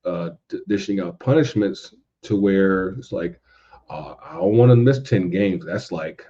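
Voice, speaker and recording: A man's voice: low voice, measured pace, dark tone. A man co-hosting a sports podcast, giving emphatic, opinionated takes in casual, sweary talk and often repeating words for stress. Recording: dull lo-fi sound.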